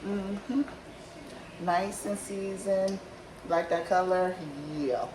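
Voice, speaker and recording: Hoarse woman chatting while cooking in a roomy kitchen. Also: mid-range voice, extremely slow, very noisy. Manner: relaxed, chatty, talks to viewers as y'all. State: slightly hoarse. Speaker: cooking vlogger. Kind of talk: casual cooking tutorial chat. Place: home kitchen. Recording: roomy kitchen, far from the mic, loud kitchen clatter.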